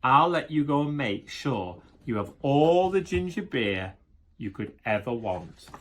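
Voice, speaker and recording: A man voicing a worried but quick-witted young storybook boy, exclaiming in a wide-eyed way. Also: mid-range voice, animated, fairly bright tone. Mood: bargaining.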